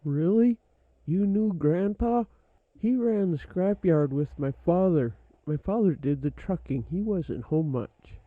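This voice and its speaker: A man's voice: fairly high pitch, no room sound. A man playing a young, earnest, plain-spoken scrapyard owner in an old time radio drama, in muffled phone-like sound. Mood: curious.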